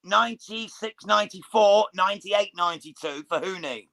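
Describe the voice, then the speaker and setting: A man's voice: high voice, moderate pace, gravelly, fairly bright tone. A man co-hosting live boxing commentary in a casual, blokey, emphatic way.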